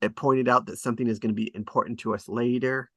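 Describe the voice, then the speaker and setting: A man's voice: medium pitch, fairly even intonation, fast, fairly bright tone, dry recording, clean studio sound. A man hosting a table read, chatting casually and hesitantly about scriptwriting as he thinks aloud. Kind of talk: casual rambling discussion of screenwriting.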